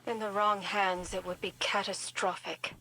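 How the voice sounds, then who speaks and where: An actress playing a stern, no-nonsense field commander in a video game, speaking in a clipped, serious manner. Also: female voice, mid-range voice, little pitch movement, moderate pace, bright, forceful tone, lightly acted, low-quality recording.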